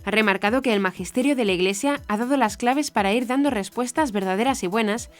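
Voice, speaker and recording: A woman presenting scripted news in a formal, measured way. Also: fairly high pitch. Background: background music.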